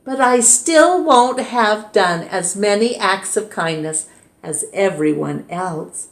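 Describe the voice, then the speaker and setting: Little mischief-maker boy, read by a woman, soft and slow. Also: medium pitch, expressive. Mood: wistful. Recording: roomy echo.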